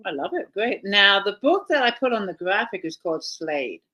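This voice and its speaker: A woman hosts a live video chat, chatty and upbeat as she greets viewers and asks questions. Her voice has a bright, clear tone over a video call line. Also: mid-range voice, very animated, normal speed, lo-fi sound. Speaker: host.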